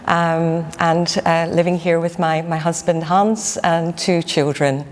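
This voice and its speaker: Middle-aged scottish woman, lowish voice, church echo, faint hiss. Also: steady tone. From Scotland. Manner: quick bursts with fillers. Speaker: parishioner. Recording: long reverb, faint noise.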